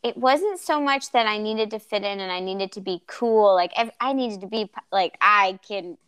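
A woman's voice: medium pitch, moderate pace, clear, resonant tone. A woman co-hosting a casual podcast chat, from the Philippines, talking freely and laughing often. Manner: chatty, laughs often, lively storytelling. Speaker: podcast co-host.

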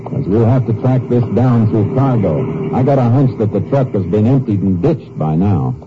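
An actor playing a steady, businesslike Texas Ranger in a 1950s radio drama, terse and matter-of-fact as he talks and narrates. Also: lowish voice, moderate pace, very noisy, close to an everyday voice. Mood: businesslike, focused. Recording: dull old radio recording with music.

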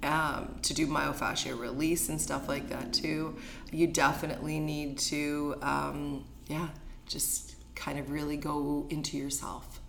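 A middle-aged woman, hoarse from talking too much, chatting as a podcast guest about her shop, with many fillers. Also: flat delivery, unhurried, slight background noise. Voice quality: deep, raspy voice. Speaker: guest, shop and cafe owner. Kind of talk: casual podcast conversation.

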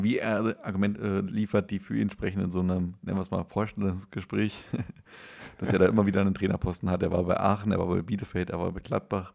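A man with a low voice full of heavy fry talks casually about football as a podcast co-host. His delivery is fairly monotone and halting, and he corrects himself as he goes. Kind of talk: casual conversational football discussion.

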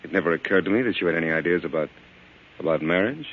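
Slick young mouthpiece lawyer: lowish, very animated, defensive, dull vintage radio sound. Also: male voice, slightly rough. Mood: earnest, awkward. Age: young adult. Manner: brisk, defensive, wisecracking. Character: cocky, evasive young male mob lawyer. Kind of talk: 1940s radio drama acting. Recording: dull lo-fi old radio recording.

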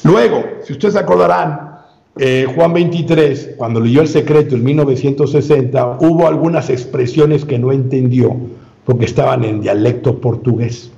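A man speaking as an interview guest, giving a long measured explanation with frequent filler sounds and restarts. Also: mid-range voice, creaky, mellow. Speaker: guest researcher. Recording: roomy, dull lo-fi sound.

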